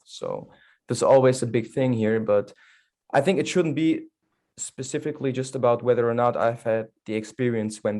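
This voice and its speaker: A man's voice: mid-range voice, a little mellow. A man from Berlin, an actor speaking in a panel conversation, fluent, earnest and emphatic. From Berlin, Germany.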